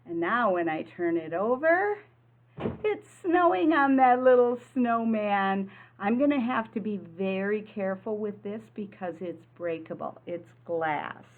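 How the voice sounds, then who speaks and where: Woman, preschool teacher, unhurried pace, medium pitch, simple explanatory read-aloud delivery, slightly dull recording. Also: lively intonation. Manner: simple, explanatory, prompting children with questions and repetition. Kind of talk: children's lesson and picture-book read-aloud.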